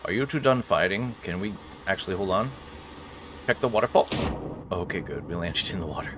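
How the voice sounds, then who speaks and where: Very animated, low-voiced man doing game commentary. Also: a little fast, noisy background. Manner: playful mock-heroic asides. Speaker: host of a game playthrough. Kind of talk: casual joking game commentary. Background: video game sounds.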